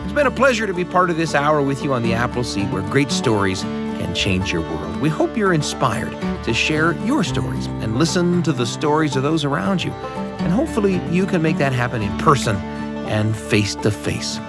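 A man's voice: fairly high pitch, very animated, moderate pace. Male host: upbeat storytelling show outro over music. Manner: upbeat, chuckling, lilting delivery. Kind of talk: warm scripted host outro.

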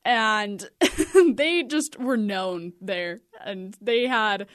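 A woman's voice: mid-range voice, extremely slow, dry recording. An American woman chatting casually in a studio and reminiscing, chuckling as she talks. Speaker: radio co-host or studio guest.

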